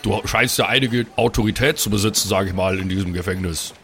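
Man playing a low-voiced, polite adventurer. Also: fast, vocal fry, a bit bright, slight background noise. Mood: earnest, grateful. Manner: polite, hesitant, wordy. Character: polite, earnest male adventurer, hesitant negotiator.

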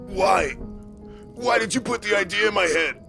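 Naive, flustered cursed businessman, radio comedy horror. High male voice, heavy fry, earnest whining. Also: normal speed, bright, forceful tone, faint music behind, exaggerated voice. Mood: bewildered.

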